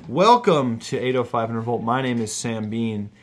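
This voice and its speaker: A man's voice: mid-range voice, animated, moderate pace, slight background noise. A young man hosting a comedic podcast in a loose, joking way with lots of fillers. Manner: loose and jokey, with frequent fillers. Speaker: podcast host. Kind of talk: casual comedic podcast chat.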